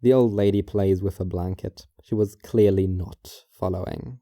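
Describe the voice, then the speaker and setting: A man narrating a scripted first-person story in a measured, detached, formal manner. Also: low voice, fairly even intonation, mellow, no echo, studio quality.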